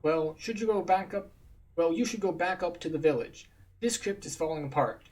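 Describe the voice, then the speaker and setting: A man's voice: a little high, moderate pace, a little mellow, voice pushed up, natural voice. A man voicing a grim, curt man guarding a crypt in a fantasy game. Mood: wary.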